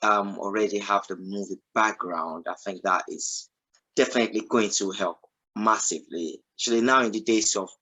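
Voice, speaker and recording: A man's voice: lowish voice, normal speed, bright, forceful tone, low-quality recording. A man hosting a radio interview, encouraging his guest and asking long, rambling questions full of fillers. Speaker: radio host. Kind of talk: casual interview chat.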